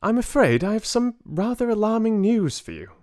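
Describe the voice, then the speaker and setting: A man's voice: high voice, big pitch swings, normal speed, darkish tone, voice pushed up. A man voicing a polite but firm elderly grandmother in a storybook, speaking delicately and insistently.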